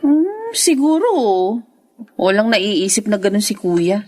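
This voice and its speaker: Gentle wife with lively intonation. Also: female voice, mid-range voice, slow pace. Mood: confiding. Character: gentle wife and younger sister, confiding old hurts. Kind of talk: Tagalog radio drama acting.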